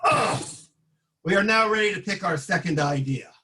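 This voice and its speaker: A man with a high, bright voice hosts an improv comedy show. He talks casually as he sets up the next sketch. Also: lively intonation, normal speed, slight background noise. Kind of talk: casual, upbeat introduction of improv games.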